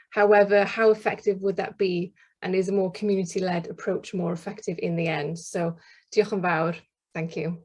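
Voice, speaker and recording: Woman presenter, mid pitch, hurried delivery, webinar call. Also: warm tone. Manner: rushed, hurried as time runs out. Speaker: researcher presenter. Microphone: video call.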